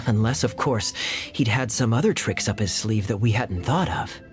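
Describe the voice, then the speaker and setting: An actor playing a cunning, playful, self-assured gambler in a video game, with smooth theatrical delivery. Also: medium pitch, animated, a little slow, close to an everyday voice. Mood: playful, smug. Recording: game music behind the voice.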